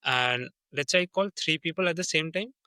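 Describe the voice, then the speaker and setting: A man's voice: fairly high pitch, fairly quick, sharp tone, no room sound, studio quality. A young man with an Indian accent, a sales rep talking through his work in a casual interview, earnest and full of restarts and fillers. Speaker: sales development representative, guest.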